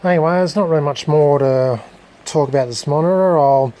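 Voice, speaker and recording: A man gives a casual, unscripted hands-on demonstration as a hobbyist restorer, talking haltingly and searching for his words. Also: a little high, mellow. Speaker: hobbyist electronics restorer presenting a video.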